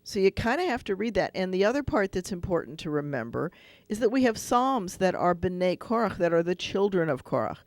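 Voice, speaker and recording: A man's voice: high voice, sing-song, fairly quick, dry recording. A man hosting a radio call-in show as a rabbi, explaining at length and thinking aloud with restarts.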